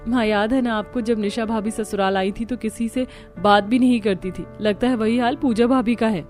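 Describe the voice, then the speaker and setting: A woman playing a demanding, chatty visiting sister-in-law in an animated family story. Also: a little high, normal speed, faint music behind, lightly acted. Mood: gossipy. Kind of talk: Hindi animated family-drama story acting.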